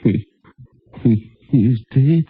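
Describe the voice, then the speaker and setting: Nervous humble mexican villager, halting husky voice, tinny old radio. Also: male voice, medium pitch, dry recording. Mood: anxious, stricken. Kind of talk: 1950s radio drama acting. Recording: tinny old radio broadcast, dry studio.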